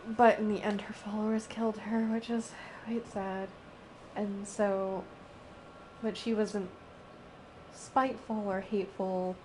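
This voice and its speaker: A woman streaming a game live, giving casual, halting commentary as she thinks aloud. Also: medium pitch, level tone, rough recording. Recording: loud background noise on livestream.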